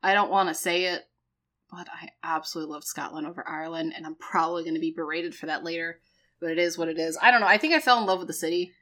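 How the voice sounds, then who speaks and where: A woman's voice: on the low side, fast. Chatty woman with a New England accent. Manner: chatty, animated storytelling with many fillers. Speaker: podcast co-host. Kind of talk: casual chat.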